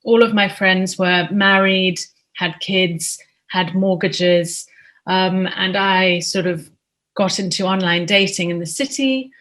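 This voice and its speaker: A woman's voice: medium pitch, takes it slowly, a bit bright. A woman in her thirties from Zimbabwe, an author answering interview questions in a chatty way with many ums.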